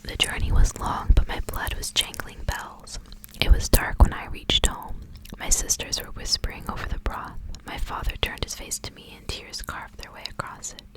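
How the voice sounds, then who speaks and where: Whispering woman, soft ASMR delivery. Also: moderate pace, poor audio. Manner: soft, hushed, gentle delivery. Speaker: ASMR host reading stories aloud.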